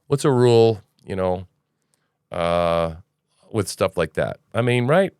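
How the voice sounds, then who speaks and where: A man's voice: sits low, animated, takes it slowly, a little mellow, smooth, no room sound, clean studio sound. A man hosting a casual news commentary podcast, rambling and opinionated, with sarcastic asides. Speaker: podcast host.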